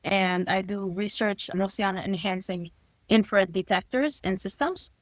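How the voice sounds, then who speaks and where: A woman's voice: medium pitch, unhurried, no echo. Earnest young woman from New Jersey. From New Jersey, USA. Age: 20s. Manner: earnest, lists achievements steadily. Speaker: podcast guest. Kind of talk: casual interview talk.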